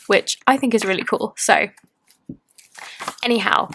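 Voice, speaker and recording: A woman's voice: medium pitch, a little slow, fairly bright tone, low-quality recording. Bubbly rambling woman in her late twenties. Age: 20s. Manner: bubbly, rambling, self-interrupting. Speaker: planner and tarot vlogger. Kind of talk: casual chatty show-and-tell.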